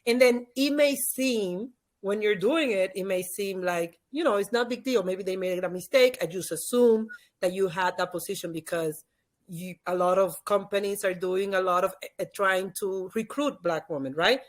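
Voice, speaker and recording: A woman's voice: mid-range voice, moderate pace. A woman from the Dominican Republic with a Dominican Spanish accent, a native Spanish speaker, explaining her points emphatically in a conversational interview as a guest expert. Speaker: podcast guest, leadership expert and former teacher.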